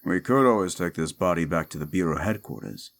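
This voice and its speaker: A man playing a gruff, wisecracking, unflappable demon paranormal detective in a roleplay audio drama, with a laconic, dry delivery. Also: low-pitched, lively intonation, brisk. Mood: dry, curious.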